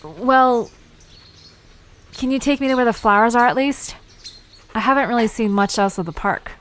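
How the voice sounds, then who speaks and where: A woman playing a curious, friendly young park visitor in an audio drama, inquisitive and expressive in a conversational way. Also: a little high, normal speed, close to an everyday voice.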